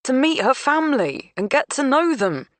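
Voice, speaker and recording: A woman's voice: sits high, big pitch swings, normal speed, no room sound, voice pushed up. A woman voicing a conciliatory young man caught between family members. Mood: pleading. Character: placating young man, harried son and partner.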